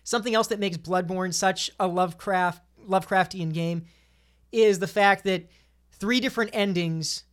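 A woman's voice: on the low side, normal speed. A woman on a podcast panel, talking casually and giving her opinions at length.

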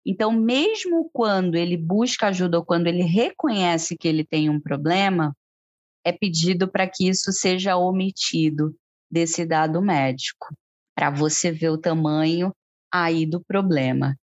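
A woman with a Brazilian Portuguese accent co-hosts a podcast in a conversational, explanatory way, often turning questions to her partner. Her voice sits somewhat low. The recording is dry and slightly dull. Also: normal speed, no room sound. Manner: explanatory, asks co-host questions. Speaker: podcast co-host.

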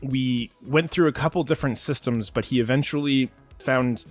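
A man with a medium-pitched voice talks as a podcast guest. He explains technical work earnestly and in detail, over faint music. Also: moderate pace. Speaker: podcast guest, audio drama writer. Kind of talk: explanatory interview talk.

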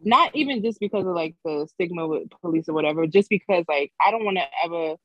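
A woman co-hosting a casual group chat, frank and animated, swearing freely. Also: fairly low pitch, quick pace, dry recording, highs cut off.

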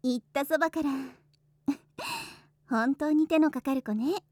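A woman's voice: sits high, expressive, slow pace, darkish tone, no echo, studio quality. A woman playing a teasing, doting older-sister hostess in a Japanese voice drama, with a coy, soothing delivery. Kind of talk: Japanese situation voice drama acting.

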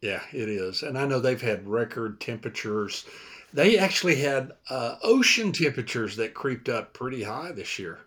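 Host: man, mid-pitch, easygoing, calls people buddy. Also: big pitch swings, normal speed, fairly bright tone, breathy edge. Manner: friendly, loose. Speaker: podcast host. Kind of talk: casual podcast chat.

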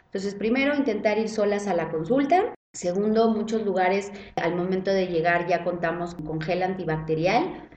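A woman gynecologist, explaining clinic measures in a relaxed podcast chat and often adding tag questions. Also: medium pitch, moderate pace, highs cut off. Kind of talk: casual podcast conversation. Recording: roomy sound.

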